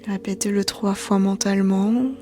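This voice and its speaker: A woman yoga teacher guiding a meditation in a soothing, hushed, breathy voice. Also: mid-range voice, steady tone, moderate pace. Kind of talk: guided meditation narration. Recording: soft background music. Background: quiet music.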